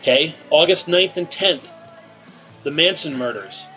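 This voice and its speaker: Bright-voiced radio host teasing a cohost. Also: male voice, a little high, lively intonation, faint music behind, low-quality recording. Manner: slow, halting setup with many fillers. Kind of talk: deadpan teasing banter.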